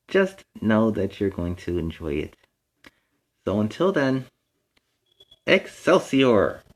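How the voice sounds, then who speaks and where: A man's voice: fairly low pitch, sing-song, takes it slowly, long pauses. A man hosting a children's story time, reading aloud with playful, explanatory asides in a superhero persona. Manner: explains words and lessons to young listeners.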